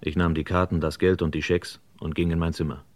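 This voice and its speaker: A man's voice: sits low, little pitch movement, a little fast, background noise, close to an everyday voice. An actor playing a wry, cool male newspaper reporter who narrates a vintage German crime radio play in dry, clipped sentences. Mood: laconic, dry.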